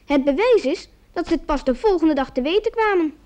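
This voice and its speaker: A youthful narrator in a radio play. Her voice is extremely high yet mellow, and the recording is dull. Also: expressive, fairly quick. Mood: confiding.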